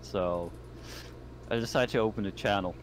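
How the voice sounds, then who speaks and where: A man with a fairly low voice plays a tabletop roleplaying game. He narrates his character casually and speaks as him, with clear noise behind him on an online call. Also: moderate pace. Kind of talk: in-character play and casual chat.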